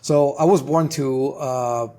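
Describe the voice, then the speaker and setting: A man's voice: mid-range voice, fairly even intonation, slow, warm tone. A man in his forties from Russia with a Russian accent, telling his story as a podcast guest, with frequent restarts and fillers. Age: 40s. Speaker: guest, trademark attorney. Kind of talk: casual podcast interview.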